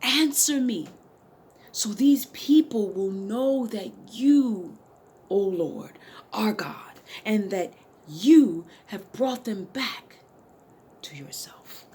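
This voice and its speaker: A woman voicing a bold, fervent male prophet with loud, theatrical declamation in a dramatic scripture reading. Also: mid-range voice, animated, very slow, breathy edge, voice pushed up, heavily acted. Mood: fervent, pleading.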